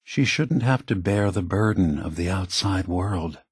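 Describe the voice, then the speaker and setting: A man voicing a calm, remorseful old synthetic android leader in a video game, speaking in a measured, grave, deliberate way. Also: low-pitched, husky, no echo, studio quality, full character voice. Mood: measured, concerned.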